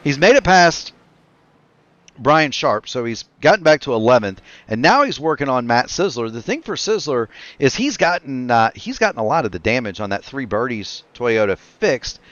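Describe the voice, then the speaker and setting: A man calling a virtual stock car race as play-by-play commentator, quick and excitable on the action, his voice getting tired. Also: mid-range voice, dry recording, highs cut off. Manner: rapid excited play-by-play, self-correcting. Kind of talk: sim racing commentary.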